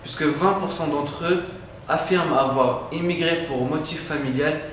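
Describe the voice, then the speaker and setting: A man's voice: a little high, normal speed, fairly bright tone. A man giving a scripted explanation as a presenter in a student news-style report, recorded in a reverberant space. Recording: very echoey, distant mic, faint noise.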